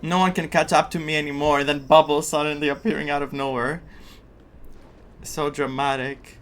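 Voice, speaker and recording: A man's voice: a little high, little pitch movement, moderate pace, fairly bright tone. A man doing casual playthrough commentary as a gaming streamer, reacting with muttered asides and dramatic repetition. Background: game music.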